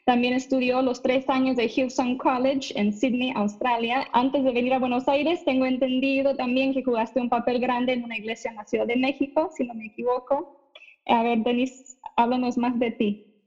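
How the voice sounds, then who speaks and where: Podcast host, woman, higher voice, chatty introductions, dull lo-fi recording. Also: flat delivery, moderate pace. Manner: introduces guests warmly, laughs often. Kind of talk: casual conversational interview. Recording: dull lo-fi audio.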